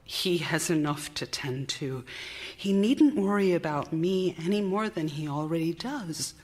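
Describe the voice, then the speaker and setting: An actress playing an anxious, exhausted young wife and mother in period radio theater, halting and intense, dropping into hushed tones. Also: lowish voice, close to an everyday voice. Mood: distressed, pleading. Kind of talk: period radio theater acting, monologue and dialogue. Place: theater stage, live performance.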